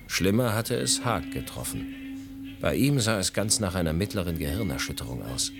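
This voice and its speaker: Male adventurer narrator, very low pitch, composed, measured, literary, music behind, radio play. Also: big pitch swings. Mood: reflective. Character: male adventurer recounting his jungle ordeal, composed.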